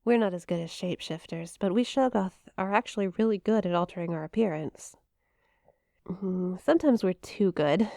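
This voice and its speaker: A woman's voice: mid-range voice, normal speed, vocal fry, mellow, dry recording, studio quality, lightly acted. A woman playing a shy, playful young eldritch monster classmate in an intimate ASMR roleplay, teasing and hesitant, with a flustered stammer. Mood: casual, explaining. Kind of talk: ASMR roleplay, intimate one-sided conversation.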